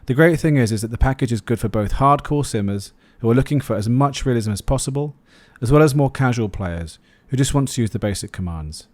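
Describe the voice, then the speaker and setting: Mellow-voiced man narrating a review. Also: mid-range voice, lively intonation, brisk, few pauses, no echo. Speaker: flight sim video host, reviewer. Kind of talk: scripted product review narration.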